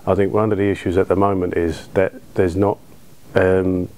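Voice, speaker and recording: A British man in his thirties talking informally in an interview, in a low-key, colloquial way. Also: little pitch movement, moderate pace, dark tone. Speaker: cinema owner being interviewed. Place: small dark cinema auditorium, indoors. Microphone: lapel.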